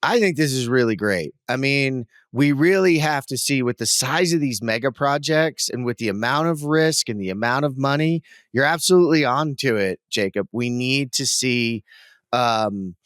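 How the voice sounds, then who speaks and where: A loud, enthusiastic man co-hosting a podcast in casual chat. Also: medium pitch, moderate pace, clear, resonant tone, no echo, clean studio sound. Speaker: podcast co-host.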